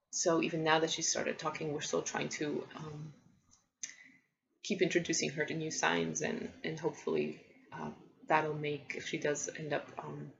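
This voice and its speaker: A woman in her thirties, a native Polish speaker, talks to camera in a lowish voice with a gravelly edge. She speaks thoughtfully, with frequent ums and restarts, on a dull lo-fi home recording. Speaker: parenting vlogger. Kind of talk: casual vlog talk to camera.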